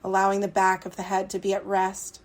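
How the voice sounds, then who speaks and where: A woman leads a guided yoga meditation in a mid-pitched voice that stays very level, with little melodic movement. She is soothing and unhurried, setting out short phrases and leaving long pauses between them. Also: completely flat delivery, very noisy.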